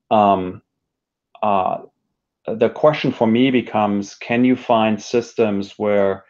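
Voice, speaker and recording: Slightly muffled man, reflective and halting. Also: on the low side, darkish tone. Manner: reflective, hesitant, frequent self-restarts and hedging. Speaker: interview guest, technology educator and speaker. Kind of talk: thoughtful conversational interview.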